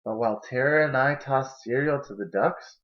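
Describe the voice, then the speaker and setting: A man's voice: mid-range voice, moderate pace. A young adult man reading a children's picture book aloud in a storytelling style, recorded indoors on a camera mic. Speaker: storybook reader. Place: indoor kitchen and living room. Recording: dull, lo-fi camera audio.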